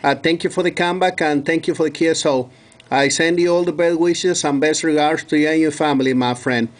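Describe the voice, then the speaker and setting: A man with a fairly high voice works a two-way radio contact. He is from Mexico, speaks courteously and repeats key details and call signs. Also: fairly even intonation, fairly quick. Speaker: radio operator.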